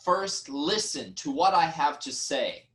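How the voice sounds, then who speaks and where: A man playing a wronged, reasoning nobleman in a formal, measured reading of ancient Greek tragedy. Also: a little high, animated, a bit bright. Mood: reasoning, defensive. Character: wronged, reasoning nobleman, brother-in-law of the king.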